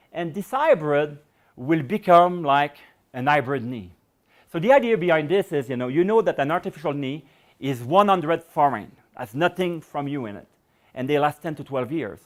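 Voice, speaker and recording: A middle-aged man speaks to a lecture audience with a slightly raised pitch. He rambles, restarts his sentences and leans on fillers. His voice is wearing thin and turning hoarse. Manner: rambling, many "you know" fillers and restarts. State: voice going hoarse. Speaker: research scientist giving a public lecture. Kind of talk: public lecture with audience questions.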